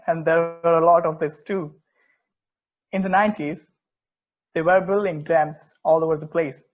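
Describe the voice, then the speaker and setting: A man's voice: fairly high pitch, steady tone, a little slow, lightly acted. A man acting as an earnest, particular, opinionated football fan in a conversational table read, emphatic and occasionally stumbling over words. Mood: explanatory, bemused. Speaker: amateur actor in a remote table read. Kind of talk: conversational dramatic script reading. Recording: dull lo-fi microphone sound.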